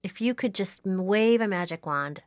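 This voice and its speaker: A woman's voice: medium pitch, expressive, moderate pace, no room sound, clean studio sound. A woman hosting a podcast interview, encouraging and chatty, with frequent fillers. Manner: warm-voiced, encouraging, with frequent fillers and laughs. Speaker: podcast host. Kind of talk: casual interview chat.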